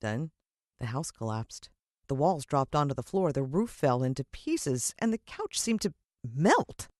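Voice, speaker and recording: Female American narrator for children's fiction: expressive, suspenseful storyteller, dry sound. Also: very deep voice, measured pace, no room sound. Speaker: audiobook narrator.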